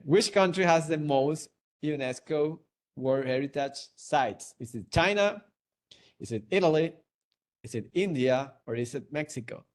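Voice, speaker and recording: A young man with a Venezuelan Spanish accent, hosting a live trivia webinar on a video call in a playful way, laughing and joking. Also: mid-range voice. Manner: playful, laughs often, hums and sings along. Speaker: webinar host.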